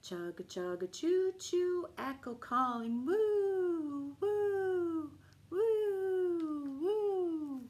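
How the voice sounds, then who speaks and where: A middle-aged woman reading a picture book aloud as a children's storytime host, with a deliberate, singsong delivery. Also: extremely high pitch, animated, a little mellow. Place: small room at home, indoors. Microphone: camera a few feet away.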